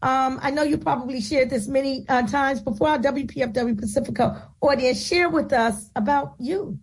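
A woman's voice: sits high, normal speed, breathy edge. A woman hosting a radio talk show, introducing her program and guest with emphatic, declamatory delivery.

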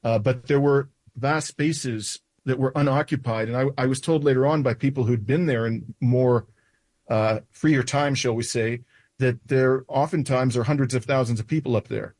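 Halting man in his sixties, North American accent. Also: medium pitch, steady tone, darkish tone, dry recording. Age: 60s. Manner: halting, with frequent uhs and restarts. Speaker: interview guest. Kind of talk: recounting events in an interview.

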